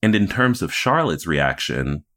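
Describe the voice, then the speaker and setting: A man's voice: deep voice, very animated, a little slow, a bit bright. A man co-hosting a podcast in a casual, conversational recap style, acting out imagined reactions as he goes. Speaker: podcast co-host.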